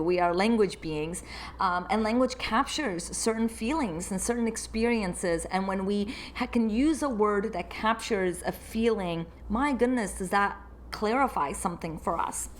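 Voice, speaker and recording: A woman's voice: mid-range voice, slight background noise. A woman therapist who grew up in the United States, hosting her own solo podcast and reflecting aloud in an unscripted way.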